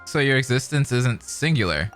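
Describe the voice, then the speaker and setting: A man's voice: medium pitch, lively intonation, normal speed, fairly bright tone, soft background music. A man giving casual, slangy reaction commentary as a game streamer. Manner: slangy, informal.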